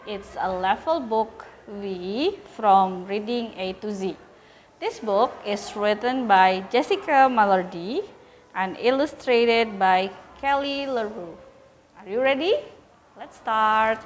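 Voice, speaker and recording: A woman with an Indonesian accent reading a children's story aloud with deliberate, dramatic emphasis, as host of a school program. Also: medium pitch, slight background noise.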